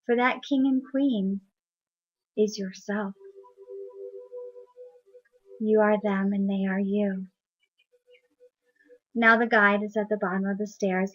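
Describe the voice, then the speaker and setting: A woman leading a guided meditation in a soft, soothing, unhurried voice. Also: medium pitch. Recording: dull lo-fi recording.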